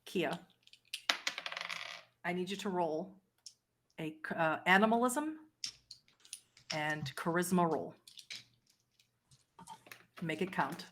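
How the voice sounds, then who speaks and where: A woman's voice: fairly low pitch, lively intonation, takes it slowly, pauses often, background noise. A woman acting as game master, narrating a tabletop roleplaying game, explaining rules and describing scenes to players.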